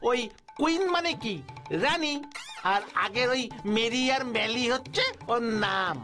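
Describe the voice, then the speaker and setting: A woman's voice: on the high side, lively intonation, unhurried, a bit bright, faint music behind. A woman voicing a mischievous, greedy, dim-witted little boy in a cartoon, with exclamatory, dramatic delivery. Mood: indignant. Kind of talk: cartoon dubbing in Bengali.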